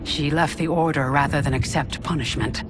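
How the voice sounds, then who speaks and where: A woman's voice: deep voice, fairly even intonation, moderate pace, close to an everyday voice. A woman playing a dutiful, formal young royal bodyguard in a dramatic video game cutscene. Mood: formal, stern. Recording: music behind the dialogue.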